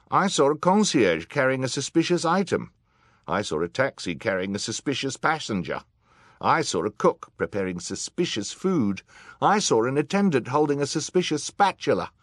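Guy doing nosy panicky hotel guests in a storybook, loud and accusing, pitch jumps way up. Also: dry recording, studio quality. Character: agitated, suspicious, gossiping hotel guests in a mob.

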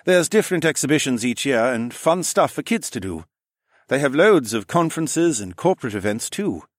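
A man's voice: mid-range voice, sing-song, normal speed, no room sound, studio quality, pitched above the performer's own voice. Man playing a nervous, weary French engineer. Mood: resigned. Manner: hesitant, stammering. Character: nervous, exhausted French engineer in his thirties.

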